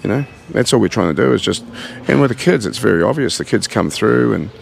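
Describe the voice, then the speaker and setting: Gravelly, earnest New Zealand man. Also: on the low side, expressive, a little hiss or hum. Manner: earnest, halting, many fillers and restarts. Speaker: gym owner. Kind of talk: casual interview. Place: large indoor martial arts gym. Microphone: handheld mic.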